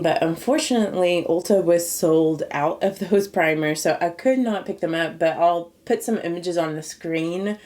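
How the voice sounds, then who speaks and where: A woman's voice: on the low side, darkish tone. A woman chatting casually through a makeup review for her viewers, sounding a little nasal and congested from a cold, with a slightly scratchy throat. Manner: chatty, rambling, talks directly to viewers. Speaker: beauty YouTuber.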